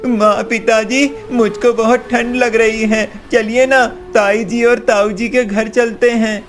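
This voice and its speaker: Man doing a high, childlike little boy. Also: little pitch movement, slow pace. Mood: pleading. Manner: childlike, whiny. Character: pleading, innocent little boy.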